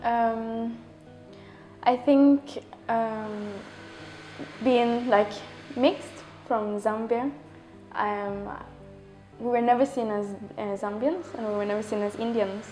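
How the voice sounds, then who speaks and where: A woman answering an interview question thoughtfully, pausing often with fillers. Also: fairly high pitch, steady tone, warm tone, faint music behind.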